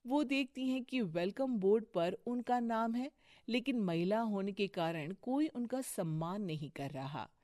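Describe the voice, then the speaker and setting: A woman narrating a cartoon moral story in a steady storytelling voice. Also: medium pitch, measured pace, no room sound, clean studio sound.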